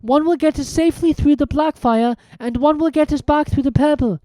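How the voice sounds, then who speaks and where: A woman voicing an eager, bossy, clever schoolgirl witch in a storybook reading, brisk and excited. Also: high-pitched, no room sound, voice pushed up, lightly acted. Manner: brisk, excited, know-it-all.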